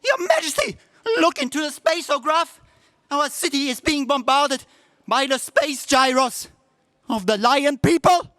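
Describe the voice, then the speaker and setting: A man playing a frantic, servile henchman in a 1930s radio serial style, hurried and alarmed. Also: very high-pitched, expressive, bright, forceful tone, dry recording, clean studio sound, exaggerated voice. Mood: panicked.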